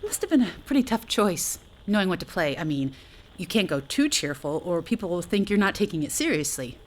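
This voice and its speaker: Low-pitched, wry astronaut. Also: female voice, sing-song, fairly quick. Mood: wry, bitter. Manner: sardonic, conversational, hesitant asides. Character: wry, talkative female astronaut, bravely facing doom. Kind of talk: naturalistic audio drama acting.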